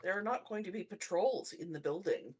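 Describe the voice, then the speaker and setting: A woman's voice: medium pitch, expressive, fairly quick, husky. A woman talking casually as a player in a tabletop roleplaying game. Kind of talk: casual group chat during a game.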